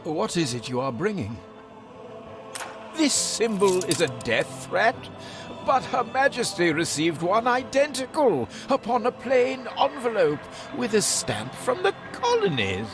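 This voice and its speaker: An actor playing an earnest, refined gentleman official with a formal, expressive delivery in a video game. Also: sits high, unhurried, over music, full character voice. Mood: concerned. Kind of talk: dramatic video game dialogue.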